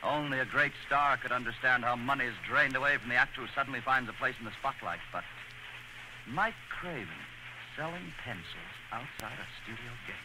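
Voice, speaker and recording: A man's voice: mid-range voice, a little fast. A man giving earnest, theatrical scripted narration in a 1940s radio style. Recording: noisy old radio broadcast.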